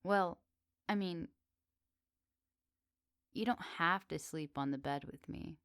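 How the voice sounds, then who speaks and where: Flirty cottage witch, acted by a woman, lowish pitch, soft and teasing, unhurried, intimate ASMR roleplay, dry close sound. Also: clear, resonant tone, no echo. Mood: calm, coaxing.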